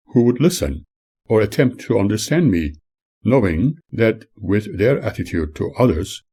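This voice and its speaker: An older man reads his memoir in a measured, earnest tone. His voice sits low and dark, with a husky grain to it. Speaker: author reading his own memoir. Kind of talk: memoir reading.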